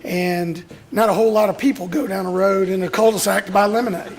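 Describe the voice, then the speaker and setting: A hoarse middle-aged man from Alabama, giving a heartfelt, emotional speech to an audience as a father. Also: sits high, fairly even intonation, quick pace. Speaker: speaker at a youth graduation, father of a boy with Duchenne.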